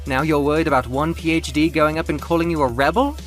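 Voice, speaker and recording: A man voicing an exasperated, sharp-tongued male painter in a theatrical, sarcastic way for an audio drama. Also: on the high side, fairly even intonation, fast talker, faint music behind, pitched above the performer's own voice, close to an everyday voice.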